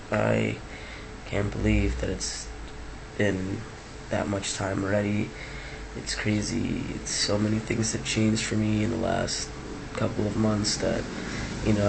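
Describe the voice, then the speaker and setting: A man talking casually to his camera in a relaxed, rambling way with many ums, sounding like he just woke up. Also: fairly low pitch, gravelly. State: just woke up, low-key. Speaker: vlogger. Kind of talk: casual video diary. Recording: noisy background hiss.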